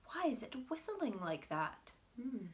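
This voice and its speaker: A woman voicing a worried, doubtful mother with a fretful, shrill manner. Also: big pitch swings, slow pace. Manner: fretful, shrill when alarmed.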